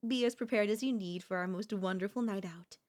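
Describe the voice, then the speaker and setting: A woman's voice: medium pitch, a little fast, darkish tone, lightly acted. A woman playing a doting, possessive girlfriend in an ASMR audio roleplay, speaking in flowery, coaxing endearments with a teasing elegance. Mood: eager. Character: doting, possessive yandere girlfriend, sweetly insistent and theatrical.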